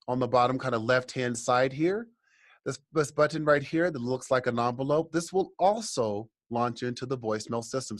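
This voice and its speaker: A man presenting a software tutorial, explaining each step and speaking directly to the viewers in an instructional way. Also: mid-range voice, no echo, studio quality. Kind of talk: software tutorial walkthrough, semi-scripted explanation.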